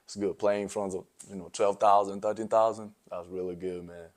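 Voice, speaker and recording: A young man from South Sudan speaks in a low, level voice with little rise and fall. His answers come easy and unhurried, strung together with plenty of "you know" and "um", like a college player talking through his game in a relaxed interview. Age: young adult. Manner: laid-back, many fillers like you know and um. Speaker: college basketball player guest.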